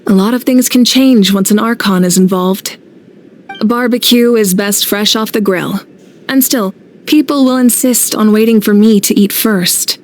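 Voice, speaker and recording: A woman playing a composed, gracious and humble nation leader in an anime fantasy game, speaking in a measured way. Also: mid-range voice, a little mellow, a little hiss or hum, close to an everyday voice. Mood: earnest. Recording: faint background game music.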